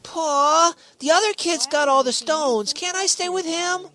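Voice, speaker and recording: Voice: very high-pitched, a little slow, pushed and bright, no echo, full character voice. A performer playing an eager, impatient little farm boy in a radio drama, whining and pleading.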